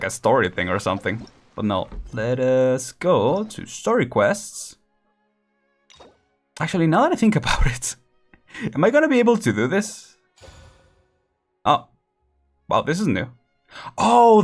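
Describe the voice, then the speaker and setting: A man casually commenting while streaming a game, rambling and laughing often. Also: medium pitch.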